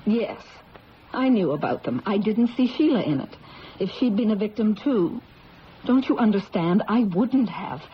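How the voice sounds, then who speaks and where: A woman's voice: mid-range voice, lively intonation, normal speed, lightly acted. An actress playing an anguished wife and mother burdened by second sight, earnest and pleading, in old radio drama style. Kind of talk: 1970s radio drama acting. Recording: muffled, very noisy old radio broadcast.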